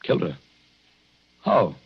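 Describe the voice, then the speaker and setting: An actor playing a protective, skeptical and uneasy husband in a 1940s radio suspense drama. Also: male voice, slow, no room sound, dull audio, natural voice. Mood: worried, disbelieving.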